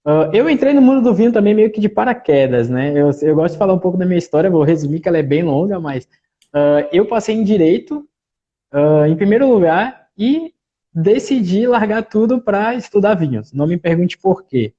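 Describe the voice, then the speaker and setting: A man with a mix of Bahian and southern Gaúcho accents, talking as a winemaker guest in a relaxed live interview, often tagging "né". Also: high voice, brisk, highs cut off. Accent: mixed Bahian and Gaúcho Brazilian Portuguese. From Salvador, Bahia, Brazil. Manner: relaxed, talkative, frequent "né" tags.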